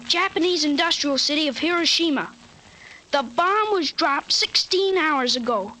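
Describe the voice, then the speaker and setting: High pitched young teen boy, way too grown up and matter-of-fact, old noisy radio show. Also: sharp tone. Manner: earnest, articulate, matter-of-fact recitation. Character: thirteen-year-old boy with a grown man's mind, precociously serious. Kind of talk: 1950s radio drama acting.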